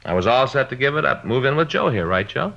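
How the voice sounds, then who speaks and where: Bright, forceful, cocksure American cop. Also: male voice, medium pitch, big pitch swings, fast, gravelly, full character voice. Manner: folksy, insistent, know-it-all. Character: cocksure, chatty married male police detective giving advice. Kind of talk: 1950s radio drama acting. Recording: dull, band-limited old radio recording.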